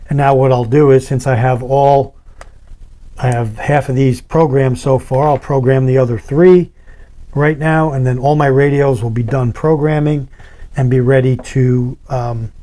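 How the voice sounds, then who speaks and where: Mellow-voiced man giving a casual demo. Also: medium pitch. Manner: plain-spoken, step-by-step explaining. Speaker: product reviewer and video host. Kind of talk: casual how-to product demonstration.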